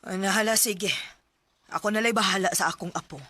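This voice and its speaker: A woman's voice: medium pitch, fairly quick, very noisy, close to an everyday voice. A woman with a Cebuano Filipino accent playing a concerned older grandmother in a radio drama.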